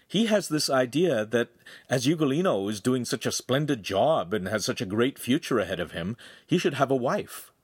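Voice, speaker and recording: A man voicing a calm, good-humoured young man in a story, earnest and conciliatory. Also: medium pitch, moderate pace, husky, no room sound, studio quality, voice pushed up. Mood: persuasive.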